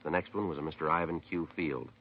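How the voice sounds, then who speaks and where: An American actor playing a stoic, polite police detective in a 1950s radio drama, speaking in a terse, matter-of-fact way. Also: male voice, extremely low pitch, fast talker, slight background noise. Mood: businesslike. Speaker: narrator and lead actor. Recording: dull 1950s radio broadcast recording.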